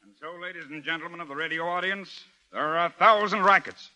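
An actor playing an earnest, defiant newspaper publisher in a declamatory 1930s radio drama style. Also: male voice, a little high, a little fast, faint music behind. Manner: declamatory, forceful. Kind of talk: 1930s radio acting, oratorical. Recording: vintage 1930s radio recording.

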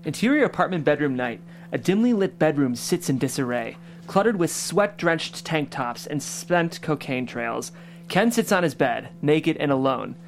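A man's voice: a little high, animated, moderate pace, slight background noise. A man who hosts a table read and reads out the stage directions. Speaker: stage directions reader and host. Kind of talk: scripted narration.

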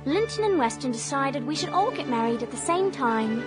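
High-voiced spirited young Victorian lady. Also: sing-song, normal speed, over music. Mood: happy. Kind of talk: period radio drama acting.

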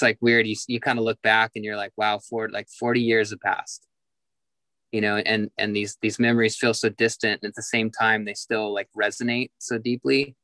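A man in his mid-forties opens up in an interview, looping back on himself with "like" and "you know" as he works through his thoughts. His voice sits fairly low but has a bright, forward edge. The sound is dry and close, with almost no room around it. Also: no echo. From Southern California. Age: 40s. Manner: reflective, many fillers and restarts. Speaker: musician guest. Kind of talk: candid interview conversation.

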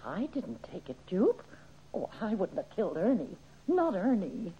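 A woman's voice: mid-range voice, very animated, moderate pace, a little hiss or hum, natural voice. An actress playing a tough, suspicious woman gangster who talks in blunt slang in an old-time radio crime drama. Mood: defensive, pleading. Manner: blunt, slangy, hard-boiled. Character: tough, suspicious female gangster in a robbery crew. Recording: muffled old radio recording.

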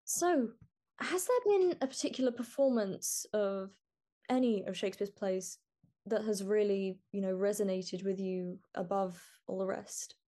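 A woman's voice: medium pitch, a little slow, dark tone. A woman hosting a podcast interview, talking in an earnest, enthusiastic way. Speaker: podcast host.

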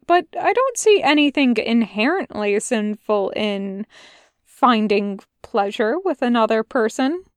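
A woman's voice: on the high side, no room sound, studio quality. A woman reading a fan audiobook, voicing a fussy, prim male angel who stumbles over his words.

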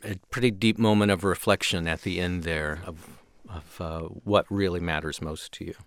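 A man's voice: sits low, steady tone, moderate pace, no room sound. A man chatting casually as co-host of a music show. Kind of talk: casual chat.

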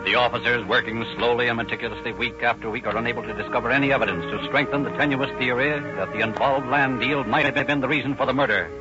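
A man's voice: mid-range voice, fairly even intonation, fast, few pauses, lightly acted. A man playing the formal, melodramatic narrator of a 1930s radio crime drama. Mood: grave, dramatic. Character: grave, formal male crime-story narrator. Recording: muffled old-time radio recording, music.

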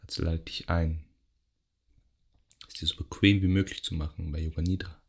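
A man guiding a yoga nidra meditation in a gentle, unhurried way, pausing between short phrases. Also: extremely low pitch, level tone, gravelly, husky. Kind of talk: guided meditation, soothing relaxation instruction.

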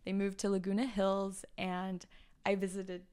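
Chatty young woman guest from China, smooth voice, lots of ums and giggles. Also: mid-range voice, completely flat delivery, a little slow, darkish tone. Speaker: podcast guest. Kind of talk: casual interview chat.

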